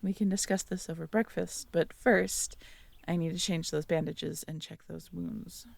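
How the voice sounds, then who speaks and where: A young woman in an ASMR audio roleplay, talking to the listener as a girlfriend, playful and teasing one moment and soft and caring the next. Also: lowish voice, fairly even intonation, moderate pace, creaky, slight background noise.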